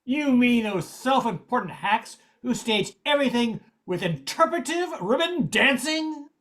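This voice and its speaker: Animated, sardonic male comedy writer, acted by a man. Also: very high voice, unhurried, noisy background. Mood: mocking, brisk. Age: older. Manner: sardonic, quippy. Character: brash, mocking comedy writer in amateur troupe. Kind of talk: amateur radio comedy acting.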